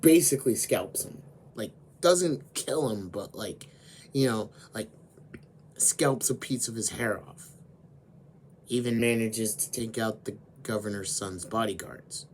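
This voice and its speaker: A man with a hoarse voice, slowly retelling an anime story as host of his own channel. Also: on the low side, slight background noise. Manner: slow, deliberate storytelling with frequent fillers.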